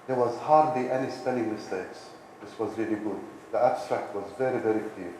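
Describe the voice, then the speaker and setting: Echoey, low-voiced middle-aged man giving a keynote. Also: brisk. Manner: emphatic, repeating key words for stress. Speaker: academic keynote speaker, university president. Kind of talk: conference keynote presentation. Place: indoor conference lecture room. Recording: echoey hall, distant, with background hiss.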